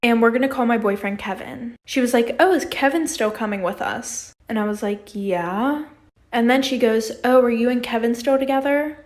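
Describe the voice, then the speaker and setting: A young woman with a slightly high voice tells a personal story casually. She is blunt and colloquial, as if chatting to followers. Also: normal speed. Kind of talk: casual social-media storytime.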